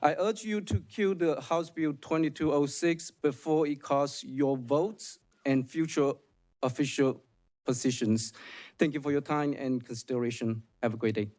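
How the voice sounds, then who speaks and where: A man's voice: fairly high pitch, fairly even intonation, a little mellow. Earnest man, Chinese native speaker. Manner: earnest, formal, slightly halting. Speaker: public witness. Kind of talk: prepared testimony at a hearing.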